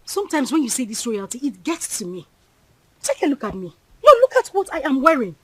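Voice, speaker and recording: A woman's voice: high-pitched, sing-song, brisk, husky, no room sound, full character voice. A young woman playing a king's abandoned daughter, tearful and defiant. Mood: tearful, pleading.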